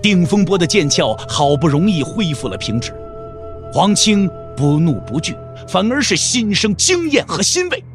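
A man narrates a martial-arts tale with theatrical emphasis. His voice sits high for a man and swings widely in pitch, over a music bed. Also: very animated, moderate pace. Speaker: narrator of a wuxia audio drama. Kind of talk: dramatic scripted storytelling narration.

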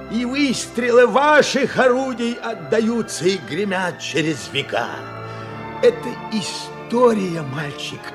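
A man's voice: sits high, creaky, full character voice. An actor playing an old grandfather and war veteran in a Soviet radio play, tender, fervent and dramatic. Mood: stirred, urgent. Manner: dramatic, fervent, halting delivery. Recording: vintage radio play with music underneath.

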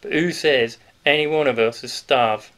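A man's voice: medium pitch, normal speed, a bit bright, lightly acted. A man voicing a stout, sly, cheerful male wartime grocer with a Yorkshire accent, in a knowing, conspiratorial manner. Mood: jovial.

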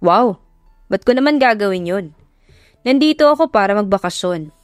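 A woman's voice: medium pitch, animated, normal speed, no echo, clean studio sound, soft background music, close to an everyday voice. A woman voicing a cocky, teasing young man who talks in a smug way.

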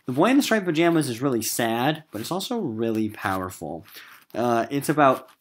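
American male teacher with very animated pitch. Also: moderate pace. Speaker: literature teacher. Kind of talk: recorded lesson narration.

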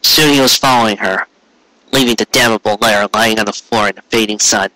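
A man's voice: on the low side, fairly even intonation, brisk, noisy background. A man narrating a story as a dramatic reading, intense in manner, now and then stumbling over words. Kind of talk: dramatic reading of fan fiction.

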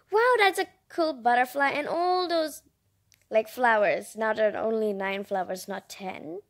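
A woman's voice: high-pitched, fairly bright tone. A female reader reading a picture book aloud with chatty asides, in a childlike, enthusiastic, exclaiming manner. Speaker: storybook reader and host.

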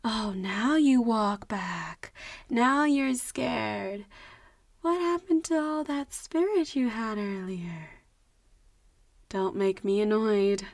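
A woman playing a teasing, haughty yet protective young vampire schoolgirl in a roleplay audio drama, speaking with deliberate phrasing and sighs. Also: a little high, no echo, studio quality. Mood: playfully menacing.

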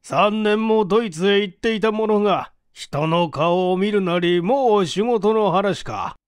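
A man's voice: high-pitched, a little slow, clean tone, no room sound, clean studio sound, voice pushed up. A man voicing a genial, teasing male company president in audiobook dialogue, familiar and wry.

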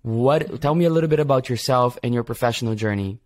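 A man's voice: mid-range voice, fast, darkish tone. He is a young adult man with a mid-range voice. He speaks casually as a podcast host, putting questions to a guest from a desk microphone. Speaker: podcast host, program director. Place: small home office indoors, on a video call. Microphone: desk microphone with pop filter.

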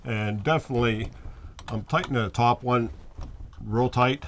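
A man's voice: medium pitch, moderate pace, fairly bright tone, slight background noise. A man in his fifties, an RV owner, talking casually as he works through a repair step by step, thinking aloud as he goes. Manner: plainspoken, thinks aloud while working with his hands. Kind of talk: casual how-to narration. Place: small bedroom in an RV.

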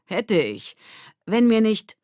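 A woman's voice: a little high, big pitch swings, takes it slowly, darkish tone, no echo, clean studio sound, voice pushed up. A woman voicing a casual boy confiding in a friend in a children's audiobook. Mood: confiding, amused. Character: boy confiding embarrassing sports story to friend.

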